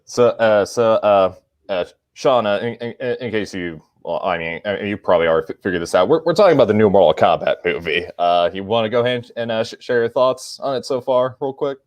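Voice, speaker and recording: A man hosting a casual fan podcast, chatting haltingly and often stammering over his words. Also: lowish voice, expressive, no room sound. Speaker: podcast host.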